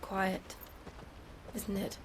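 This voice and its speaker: An actress playing a calm, courteous young noblewoman in a video game cutscene. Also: drawn-out words, background noise. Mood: gentle. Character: composed young noblewoman, gentle and polite.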